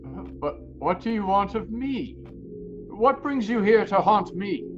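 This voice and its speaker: An actor playing a grumpy, frightened old miser in a radio drama, stammering and exclaiming. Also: male voice, high voice, animated, unhurried, music in the background. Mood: wary, uneasy. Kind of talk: theatrical radio drama acting. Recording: dull lo-fi sound with noise.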